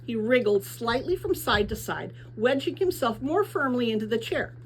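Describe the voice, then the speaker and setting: A woman reading a children's novel aloud as expressive scripted narration. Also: a little high, moderate pace, a little hiss or hum, low-quality recording. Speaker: audiobook reader.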